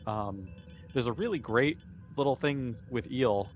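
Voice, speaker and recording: A man chatting casually on a panel video call, musing in a measured, slightly hesitant way. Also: medium pitch, animated, soft background music. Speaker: cast member on panel. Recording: noisy video call audio.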